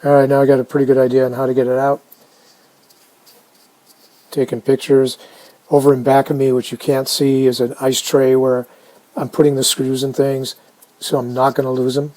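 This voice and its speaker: A man casually narrating a hands-on restoration video, unhurried and halting as he thinks aloud. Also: medium pitch, level tone, mellow, rough recording. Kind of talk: casual narrated how-to commentary.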